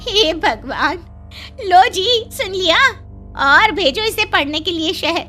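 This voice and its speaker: Lady doing worried scolding village mom, really high n piercing, cartoon horror story, faint music behind. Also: animated, takes it slowly, bright, forceful tone, heavily acted. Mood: exasperated. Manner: fretful, scolding. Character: anxious, scolding village mother of a teenage son. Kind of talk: Hindi animated horror story voice acting.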